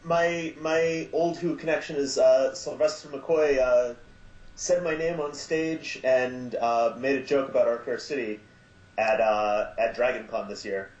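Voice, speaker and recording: A man guesting on a podcast, stopping and starting with plenty of ums and uhs, then perking up whenever he retells a moment. He speaks at an everyday pitch. The sound is echoey and a little dull. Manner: halting, many fillers, lively when retelling. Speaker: guest, audio drama producer. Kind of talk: casual podcast chat.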